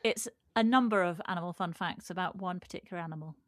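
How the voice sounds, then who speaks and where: A woman's voice: fairly low pitch, fast. A woman co-hosting a podcast, chatting casually and sharing facts in an enthusiastic, emphatic way. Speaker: podcast co-host.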